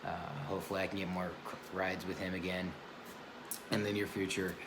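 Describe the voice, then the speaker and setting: Male car vlogger, hoarse and sore-throated, low-pitched, laid-back rambling delivery, noisy background. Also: flat delivery, a little fast. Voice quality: raspy. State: sore, hurting voice. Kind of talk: casual vlog to camera. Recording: clear background noise.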